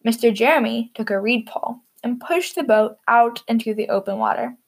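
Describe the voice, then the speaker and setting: A woman's voice: on the high side, moderate pace, gravelly, slight background noise. A woman hosting and narrating a storybook for children.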